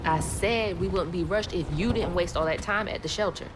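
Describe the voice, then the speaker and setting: A woman's voice: lowish voice, moderate pace, background noise, natural voice. An actress playing a headstrong, sentimental young woman with a Southern US accent in a game cutscene, casual and sardonic. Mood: frustrated, worried. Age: young adult. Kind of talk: naturalistic game cutscene acting.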